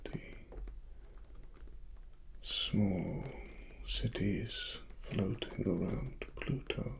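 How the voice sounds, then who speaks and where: A British man performing a softly spoken ASMR roleplay as a grave cyberpunk investigator, breathy and partly whispered, with deliberate pauses. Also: low voice, slight background noise. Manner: slow, deliberate, hushed, with long pauses. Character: grave cyberpunk investigator uncovering corporate wrongdoing.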